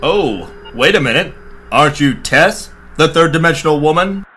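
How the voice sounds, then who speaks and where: An actor playing a brave, pompous space-adventure hero with overblown earnest bravado in a parody pulp radio serial. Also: male voice, fairly high pitch, animated, normal speed, sharp tone.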